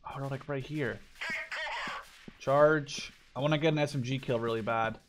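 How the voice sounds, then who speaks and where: A man's voice: medium pitch, fairly even intonation, moderate pace, slight background noise. A man hosting a gaming video, commenting casually on gameplay and thinking aloud. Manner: thinks aloud, mild swearing. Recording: gunfire and clicks behind voice. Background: video game gunfire.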